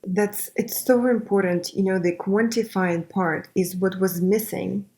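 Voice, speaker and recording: A woman's voice: medium pitch, steady tone, measured pace, a little mellow. A woman hosting a casual interview, responding and asking questions in a conversational way. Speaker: interviewer, podcast host. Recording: noisy line with clicks and rustling.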